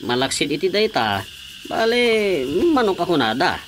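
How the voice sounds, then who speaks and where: Sly scheming cockfight gambler. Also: male voice, high-pitched, sing-song, a little slow, slight background noise. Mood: sly, boastful. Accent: Ilocano. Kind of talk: Ilocano radio drama comedy acting.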